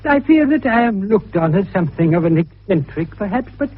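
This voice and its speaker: A courtly, eccentric old toymaker in a vintage radio play. His voice is high and breathy, his speech mild and precise. The sound is tinny and narrow. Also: animated, brisk. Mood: mild, courteous. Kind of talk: 1950s British radio drama acting.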